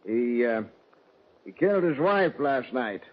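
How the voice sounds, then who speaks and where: A blunt Old West lawman in a radio western, suspicious of everyone. His mid-pitched voice rises and falls widely, and the old recording is dull. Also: big pitch swings, unhurried. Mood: gruff, suspicious.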